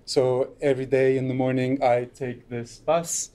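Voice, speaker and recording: A man's voice: mid-range voice, little pitch movement, a little slow, warm tone. A middle-aged man from Turkey giving a conference talk at a lectern, loose and unscripted, with frequent fillers. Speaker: conference speaker. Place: lecture room, at a lectern.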